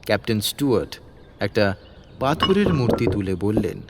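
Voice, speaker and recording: A man's voice: lowish voice, big pitch swings, moderate pace, very noisy. A man narrating a scripted detective story as its narrator.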